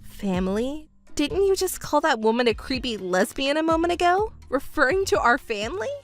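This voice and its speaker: Casting: woman as grown stepdaughter in a family melodrama, composed, reasonable, quietly reproachful, polite but unbending. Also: high-pitched, very animated, faint music behind. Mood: calm, firm. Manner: measured, polite, coolly firm. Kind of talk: melodramatic dubbed story acting.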